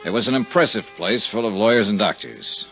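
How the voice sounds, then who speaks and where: A man's voice: on the low side, fairly quick, a bit bright. A man with an American accent acting as a dry, clipped, matter-of-fact insurance investigator who narrates a 1950s radio detective drama. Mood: crisp, businesslike. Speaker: lead actor and narrator. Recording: muffled vintage radio recording with music.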